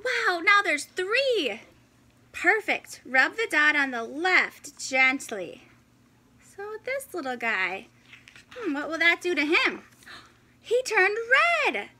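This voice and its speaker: Very high, bright woman reading to children. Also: slow pace, slight background noise. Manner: animated, exclaiming, encouraging, with audible gasps. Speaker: teacher reading story time for children. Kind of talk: interactive children's picture book read-aloud. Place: indoors, in front of a fabric backdrop.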